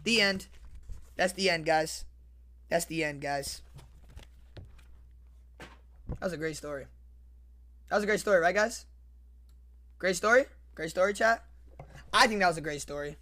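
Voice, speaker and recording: Young man, slangy and excitable streamer. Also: high voice, a little slow, long pauses. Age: young adult. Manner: slangy, foul-mouthed, repeats phrases. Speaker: livestreamer. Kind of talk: casual livestream read-aloud with commentary. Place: small bedroom, indoors. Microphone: close desk microphone with headset.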